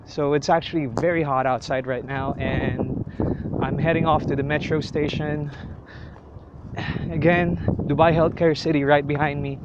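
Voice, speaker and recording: A young man from the Middle East talks to his handheld camera in a loose, rambling vlog style, stopping often to fix his own words. The sound is dull and lo-fi, with loud street and traffic noise behind him. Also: fairly high pitch, fairly even intonation, moderate pace. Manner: chatty, rambling, self-correcting. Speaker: vlogger. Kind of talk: casual vlog narration. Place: outdoors in a hot city, then a metro station and a cafe. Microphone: selfie camera at arm's length.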